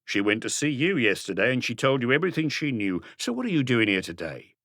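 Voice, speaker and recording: A man's voice: mid-range voice, very animated, fast talker, fairly bright tone, dry recording. A shifty small-time crook in his thirties, as acted by a male reader for a mystery audiobook. He is defensive and evasive, and the sound is dry and close. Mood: evasive, indignant.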